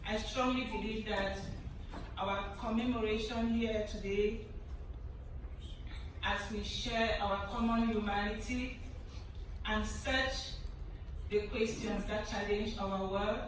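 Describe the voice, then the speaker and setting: A woman's voice: medium pitch, fairly even intonation, takes it slowly, pauses often. Earnest woman speaking in an echoey hall. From Cameroon. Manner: earnest, emphatic, with repetitions. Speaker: conference speaker. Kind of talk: formal prepared address. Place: large conference hall. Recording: echoey hall, distant mic, background noise.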